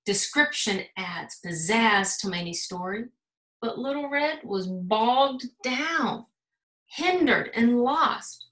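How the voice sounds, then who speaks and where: Bright, animated American woman reading a storybook. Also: on the high side, slow. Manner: animated, emphatic storytelling with dramatic gasps. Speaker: school principal reading aloud to children. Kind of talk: expressive children's storybook read-aloud. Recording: roomy, echoing sound.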